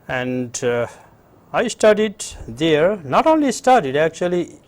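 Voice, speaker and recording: A middle-aged man from Nepal with a Nepali accent, answering interview questions conversationally in a halting way and repeating phrases. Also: fairly high pitch, big pitch swings. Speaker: interview guest, university professor. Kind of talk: conversational interview, recounting own background.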